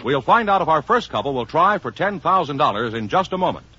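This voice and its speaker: A man's voice: fairly high pitch, big pitch swings, fairly quick. An American man announcing a radio show in vintage broadcast style. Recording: dull vintage radio recording. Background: music.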